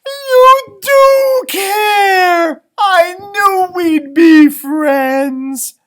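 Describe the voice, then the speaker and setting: A man voicing an affectionate, happy-go-lucky female dog in a storybook, with gushing, drawn-out delivery. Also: very high voice, fairly bright tone, voice pushed up, exaggerated voice. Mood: overjoyed, tearful. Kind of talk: storybook read-aloud character voice.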